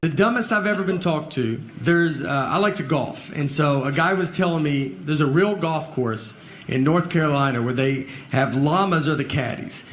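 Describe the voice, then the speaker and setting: A man in his forties doing deadpan stand-up comedy, telling slow stories with acted-out dialogue on stage. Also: a little high, a crowd in the background, noisy background. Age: 40s. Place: stage before a live audience. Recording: loud audience laughter behind.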